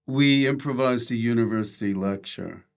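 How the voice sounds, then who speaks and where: A man hosting a casual conversational interview as a comedian, asking halting, trailing questions and giving brief agreeing replies. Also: medium pitch, gravelly. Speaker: podcast host, comedian.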